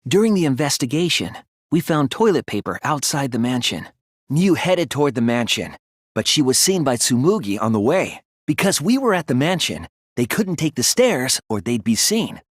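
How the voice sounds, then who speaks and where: A man voicing an earnest, analytical teenage boy detective in a video game, reasoning deliberately through scripted dialogue. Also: mid-range voice, sing-song, dry recording, studio quality. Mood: calm, deducing.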